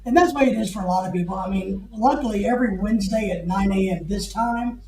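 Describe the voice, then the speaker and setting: A man's voice: sits high, fast talker, a crowd in the background. A man hosting a livestream who jokes and teases while he reads chat comments aloud. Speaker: livestream host. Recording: echoey room.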